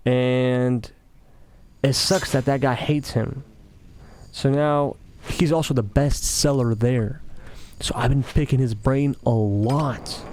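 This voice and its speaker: A man's voice: medium pitch, fairly even intonation, slow, darkish tone. Rambling young man, slight Russian accent. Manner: talkative, meandering, self-interrupting. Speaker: gaming commentary creator. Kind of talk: rambling casual commentary over gameplay. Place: office.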